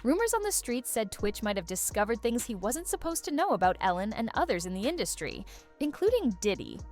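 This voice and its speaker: Animated higher-voiced woman narrator over music. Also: fairly quick. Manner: dramatic, suspense-building, poses questions. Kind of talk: scripted gossip-video narration.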